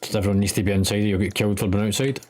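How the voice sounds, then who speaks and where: A man with a lowish voice chats with his gaming teammates. His delivery is informal and blunt, plain everyday talk between players. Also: steady tone, rapid-fire, a little mellow. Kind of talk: casual gaming chat.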